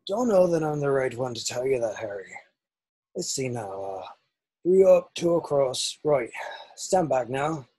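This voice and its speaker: A man playing a gruff, kindly giant with folksy rustic phrasing in a screenplay table read. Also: medium pitch, very animated, a little slow, mellow, dry recording. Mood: musing.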